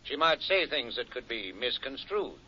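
An actor playing a stern, defensive small-town county attorney in a 1950s radio detective drama. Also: male voice, mid-range voice, very animated, moderate pace, bright, forceful tone, exaggerated voice. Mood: defensive, testy. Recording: dull vintage 1950s radio recording.

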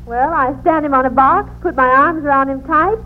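Woman playing a perky high-voiced flirty secretary. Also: normal speed, slight background noise, close to an everyday voice. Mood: flirty, playful. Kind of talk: 1940s radio comedy acting. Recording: thin, tinny old radio recording.

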